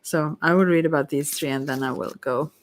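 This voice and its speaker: Low-voiced woman giving a soft tarot reading. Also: fairly even intonation, a little fast. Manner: soft-spoken, rambling, hesitant, giggly asides. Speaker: tarot reader and ASMR host. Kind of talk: casual ASMR tarot reading.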